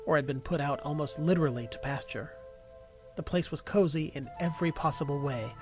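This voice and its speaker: Male story narrator, lightly high register, wry expressive delivery, music bed. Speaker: audiobook narrator and voice actor. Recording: music behind the voice.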